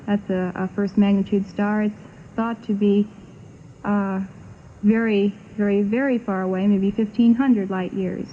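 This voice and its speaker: A woman being interviewed on 1960s radio, explaining things in a halting, instructive way. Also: medium pitch, fairly even intonation. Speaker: program guest explaining astronomy. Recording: phone-like, faintly noisy 1960s radio recording.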